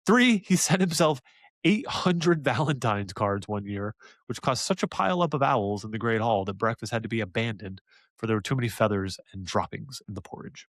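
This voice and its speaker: Male podcast co-host, lowish voice, dry close mic, rambling with frequent laughs. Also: lively intonation, moderate pace, a bit bright, no room sound. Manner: rambling, hesitant, laughs often. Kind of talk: casual chat.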